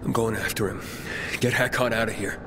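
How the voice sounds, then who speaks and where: An actor playing an earnest, determined young survivor hero in a video game, plain and urgent. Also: male voice, on the low side, fairly even intonation, a little fast, noisy background, natural voice. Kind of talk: cinematic video game acting. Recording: loud game music behind.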